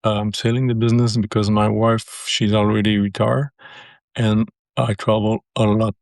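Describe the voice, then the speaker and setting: An older man from Quebec speaks English with a Québécois French accent, his phrasing careful and a little halting. His voice sits low and stays level, recorded close and dry. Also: little pitch movement, creaky, a little mellow, dry recording. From Quebec, Canada. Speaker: business seller. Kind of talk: interview answers.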